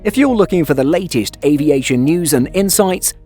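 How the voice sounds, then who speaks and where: A man reading scripted aviation news narration as a voice-over, in a measured, factual manner. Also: fairly high pitch, lively intonation, dark tone. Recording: voice-over with music underneath. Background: background music.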